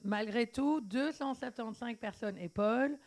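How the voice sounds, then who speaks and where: A woman interpreting a sermon live, phrase by phrase, with halting wording and self-corrections. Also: on the high side, darkish tone.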